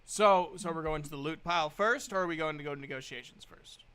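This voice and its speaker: A game master improvising narration for a tabletop game in an informal, halting manner. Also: medium pitch, fairly bright tone, smooth.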